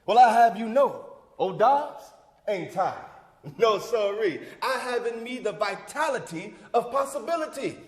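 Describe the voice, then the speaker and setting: Actor as high-pitched, fervent civic orator in echoing hall. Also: male voice, animated, measured pace. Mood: folksy, welcoming. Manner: preacherly, emphatic, folksy, rousing cadence. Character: John Wesley Dobbs, fervent older civic leader rallying a church crowd. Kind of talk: theatrical monologue, oratorical speech. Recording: echoey hall with long reverb.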